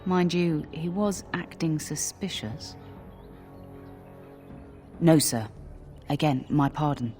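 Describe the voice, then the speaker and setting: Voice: mid-range voice, slow pace, pauses often, a little mellow, soft background music, natural voice. A performer playing a humble, deferential messenger in a video game, with an apologetic, chatty manner. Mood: nervous, deferential.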